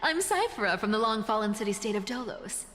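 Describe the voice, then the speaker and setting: An actress playing a breezy, teasing young female rogue in a video game, with flippant, drawn-out delivery. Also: mid-range voice, sing-song, a bit bright, in a large hall. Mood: sly, breezy.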